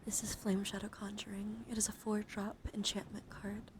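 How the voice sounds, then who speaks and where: Female ASMR streamer, medium pitch, playful and chatty, shifting between whisper and soft talk, clearly noisy recording. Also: monotone, moderate pace. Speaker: livestream host. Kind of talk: ASMR card showcase with casual chat to viewers.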